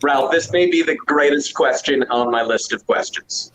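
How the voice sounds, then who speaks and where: A man's voice: a little high, normal speed, fairly bright tone, poor audio. A man moderating a casual podcast Q&A and reading fan questions, upbeat and earnest with fillers, over a video call. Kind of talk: casual Q&A chat. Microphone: remote mic over a video call.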